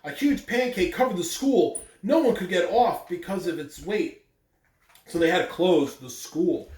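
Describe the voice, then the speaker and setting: A man reading aloud to children in an expressive storytelling style, with deliberate emphasis on key words. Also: sits high. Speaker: school staff member reading aloud on morning announcements. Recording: roomy echo, distant mic, faint background noise.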